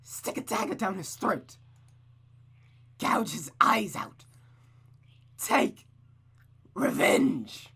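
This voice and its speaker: Woman acting out a cruel, venomous mother, swooping pitch. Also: lively intonation, gravelly, sharp tone, slightly rough, full character voice. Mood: menacing, vengeful. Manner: theatrical, drawn-out, scornful delivery.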